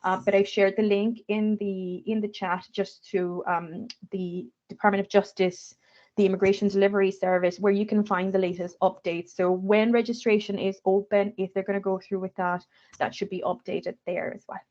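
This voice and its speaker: A woman giving an informal briefing on a video call, talking quickly with many fillers. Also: mid-range voice, level tone, darkish tone. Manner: fast, filler-heavy, reassuring. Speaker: refugee support speaker. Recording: muffled video call audio.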